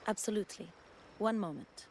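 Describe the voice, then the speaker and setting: A woman's voice: medium pitch, a little fast, a little mellow, slight background noise, natural voice. Polite, formal female subordinate, acted by a woman. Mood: deferential, businesslike. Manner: deferential, formal, precise. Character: dutiful female subordinate reporting to her lady, polite and efficient. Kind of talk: scripted video game character acting.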